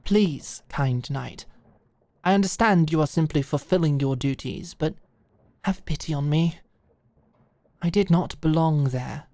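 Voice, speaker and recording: A woman's voice: low voice, a little slow, pauses often, mellow, no echo. A woman playing an earnest, polite young nobleman held captive, speaking in a courtly, hesitant, deferential way in a medieval roleplay drama. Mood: pleading, earnest.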